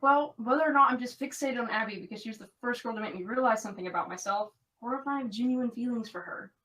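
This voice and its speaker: Woman acting rapid-talking, earnest young American woman. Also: fairly high pitch, fairly bright tone, recorded from a distance, natural voice, rough recording. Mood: earnest, conflicted. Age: young adult. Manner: earnest, confiding. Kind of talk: audio drama dialogue.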